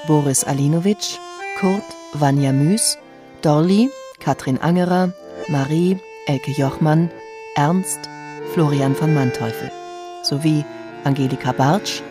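Slow male credits announcer over music. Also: fairly high pitch, dark tone. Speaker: radio announcer reading credits.

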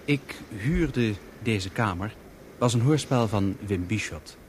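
A man's voice: lowish voice, expressive, slow pace. A man reading scripted credits as the announcer of a radio play. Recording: clearly noisy old radio recording.

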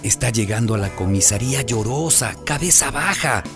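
An actor playing a sympathetic middle-aged neighbourhood man who confides in an expressive, folksy way in a radio drama. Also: mid-range voice, moderate pace, natural voice. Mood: pitying. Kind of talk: radio drama narration in character. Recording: music behind the voice.

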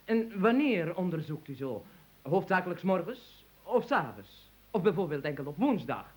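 A man's voice: high voice, moderate pace. A man playing a pompous fussy chatterer. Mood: chattering. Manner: wordy, theatrical, excitable. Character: fussy pompous man, proud of his notebook. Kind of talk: radio play acting. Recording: old radio play, noisy.

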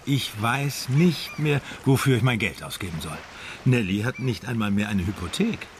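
Casting: man as matter-of-fact detective adjutant, middle-pitched, dryly questioning, radio mystery play, noticeable background noise. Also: animated, normal speed, close to an everyday voice. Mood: dry, probing. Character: Dutch police adjutant, matter-of-fact friend and investigator. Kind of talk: radio crime drama dialogue. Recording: clear background noise under dialogue.

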